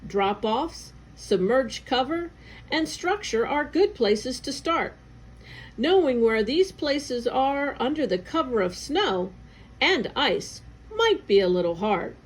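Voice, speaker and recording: A woman's voice: high-pitched, very animated, slow, slightly rough. A woman reading a scripted educational article aloud for children, in a careful, instructional way. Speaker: educational narrator, agency staff reader.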